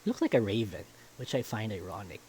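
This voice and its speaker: A man's voice: fairly low pitch, sing-song, fast talker, darkish tone, rough recording. Man narrating a story over faint noise. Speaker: visual novel reader. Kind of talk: first-person story narration.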